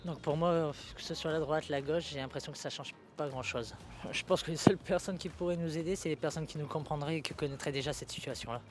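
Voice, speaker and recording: A man's voice: on the high side, fast talker, few pauses, background noise. A homeless man from northern France giving frank, weary answers in a street interview. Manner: frank, weary, plain-spoken. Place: outdoors on a city street.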